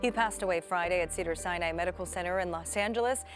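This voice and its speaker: A woman's voice: lowish voice, fast, music in the background. A woman with an American accent reading scripted television news as an anchor. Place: TV studio.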